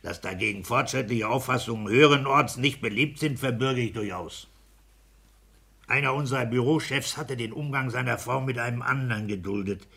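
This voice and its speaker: An actor playing a smug, stubborn petty-bourgeois civil servant, blunt and domineering, in a 1960s German radio play. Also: male voice, mid-range voice, normal speed, creaky, bright, forceful tone, slightly rough, full character voice. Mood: complacent. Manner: blunt, self-satisfied, domineering.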